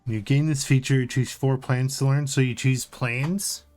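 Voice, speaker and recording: Male co-host, average pitch, laid-back chat and read-outs of game rules over faint music. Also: fairly even intonation, moderate pace, darkish tone. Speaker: podcast co-host. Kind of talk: casual discussion and reading of game rules.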